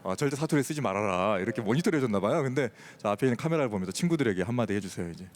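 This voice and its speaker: A man's voice: lowish voice, animated, very fast. Upbeat male panel moderator. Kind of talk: hosting a panel talk. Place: stage of a convention hall.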